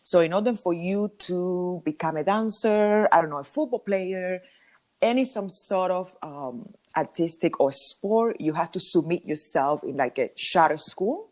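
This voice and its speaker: A woman in her thirties with a Cuban Spanish accent, a native Spanish speaker, telling her story as a podcast guest with lively ums and laughs. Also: on the low side, unhurried. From Cuba. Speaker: podcast guest, hair colorist. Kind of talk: casual interview talk.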